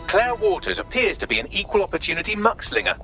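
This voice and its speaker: A dry, sarcastic AI. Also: male voice, high-pitched, lively intonation, quick pace, gravelly, bright, forceful tone, soft background music, full character voice. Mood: wry. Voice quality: dry, arch wit. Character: dry, sarcastic male AI assistant.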